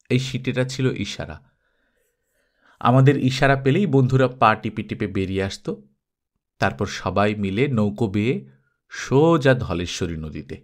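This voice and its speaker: A male storyteller reads a childhood tale aloud at a middle pitch. He talks straight to his listeners in a close, playful way and drops in asides as if they sat beside him. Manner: intimate, addresses listeners directly, playful asides.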